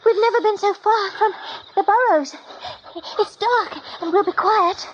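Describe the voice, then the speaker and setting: Anxious, very high-voiced rabbit. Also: female voice, a little slow. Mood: anxious, weary. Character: anxious young female rabbit, breathless and timid. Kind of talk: radio drama acting. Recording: dull radio recording, faint noise.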